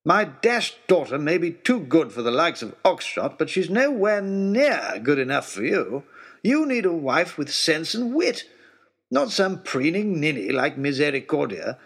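A man's voice: on the high side, sing-song, unhurried, fairly bright tone, husky, heavily acted. A man voicing a haughty, irascible English lord with an upper-class accent and a blustering, dismissive manner. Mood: exasperated.

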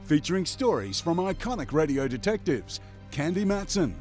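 A man's voice: on the high side, sing-song, moderate pace, darkish tone. A man narrating a scripted promo as a dramatic announcer, with music underneath.

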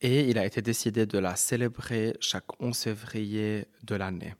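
A man's voice: on the low side, fairly even intonation, normal speed. A man who heads a health ministry, speaking as a radio guest and explaining with some hesitation. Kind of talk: radio interview.

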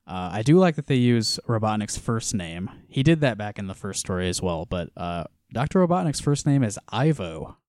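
A man co-hosting a casual podcast, walking through a comic with frequent laughter and quiet trailing-off lines. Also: lowish voice, very animated, normal speed, few pauses, dry recording, studio quality. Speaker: podcast co-host. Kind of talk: casual chat reviewing a comic.

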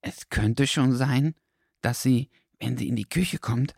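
A man's voice: medium pitch, takes it slowly, gravelly, husky, no echo, studio quality, pitched above the performer's own voice, heavily acted. A man voicing an anxious, hesitant male dog in a storybook, murmuring his words.

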